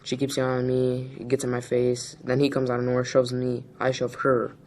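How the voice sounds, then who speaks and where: A teenage male student acting a defensive high schooler, speaking casually with repetitive slang. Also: completely flat delivery, moderate pace, darkish tone, natural voice. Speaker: student actor in a peer mediation dramatization. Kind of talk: acted school role-play dialogue. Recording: noisy room ambience.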